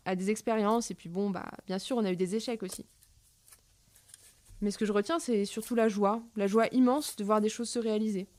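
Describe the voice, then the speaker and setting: A woman's voice: medium pitch, a little mellow, lightly acted. A woman playing a long-lived gynoid botanist, reminiscing in a conversational, wondering way in an audio drama. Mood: joyful, tender. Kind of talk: intimate monologue in a fiction podcast.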